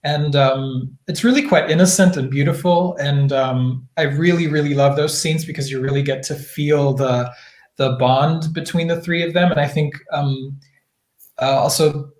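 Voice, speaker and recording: A man's voice: fairly high pitch, reverberant. A man answering interview questions as an actor, speaking in an earnest, thoughtful, conversational way. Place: indoor room, virtual Q&A.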